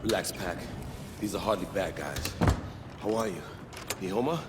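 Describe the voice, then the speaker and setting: An actor playing a calm, reassuring male soldier in a video game cutscene. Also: sits low, normal speed. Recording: long reverb, loud music behind.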